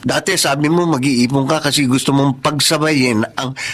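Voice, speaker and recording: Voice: medium pitch, steady tone, moderate pace, a bit bright, close to an everyday voice. A performer playing a sassy, teasing close friend in a Tagalog radio drama, exclaiming in slangy talk with a Filipino accent. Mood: teasing, chiding.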